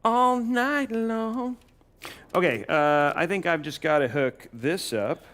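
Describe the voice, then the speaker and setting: A man's voice: high-pitched, expressive, takes it slowly, fairly bright tone. A young man hosting a casual live stream while building a PC, playful and teasing with his co-host.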